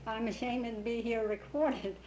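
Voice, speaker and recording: An older woman who grew up in the Russian Empire and speaks Yiddish as her first language, telling her story slowly in an oral history interview with many pauses and restarts. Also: a little high, steady tone, a little mellow, highs cut off, a little hiss or hum. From Russian Empire, Ukraine region.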